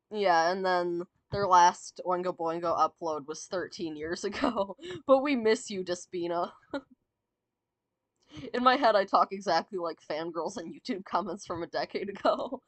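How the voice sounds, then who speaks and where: A woman giggling and rambling as she ranks songs, her voice slightly raspy and sore from talking. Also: on the low side, takes it slowly, pauses often, no room sound. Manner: giggly, rambling, many fillers and restarts. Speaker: VTuber streamer. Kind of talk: casual fan commentary, ranking songs.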